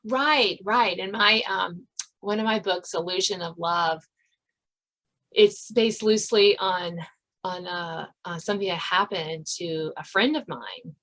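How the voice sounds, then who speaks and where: An American woman hosting a casual interview about writing, talking in an animated, conversational way. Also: fairly low pitch, unhurried. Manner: animated, conversational, quotes others' reactions in dialogue. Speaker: podcast host and suspense novelist. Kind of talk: casual author interview chat.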